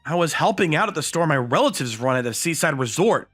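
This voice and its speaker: Man voicing bashful teenage boy, animated delivery. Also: fairly high pitch, fast talker, soft background music. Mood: explaining. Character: modest, bashful high school boy narrating his romance. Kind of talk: anime-style manga dub acting and narration.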